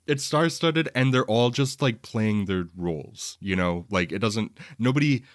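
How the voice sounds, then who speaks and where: A man with a deep voice, recorded very dry and close. He talks about films as a podcast co-host in a casual, enthusiastic style, wandering from point to point. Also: expressive, measured pace, no room sound. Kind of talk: casual film discussion.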